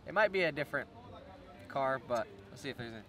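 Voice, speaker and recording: A man's voice: mid-range voice, expressive, quick pace, pushed and bright. A young man, a diving vlogger, chatting outdoors at a picnic table as he shows what he found, upbeat and laughing often. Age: young adult. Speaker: treasure-hunting diver and vlogger. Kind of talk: casual show-and-tell vlog.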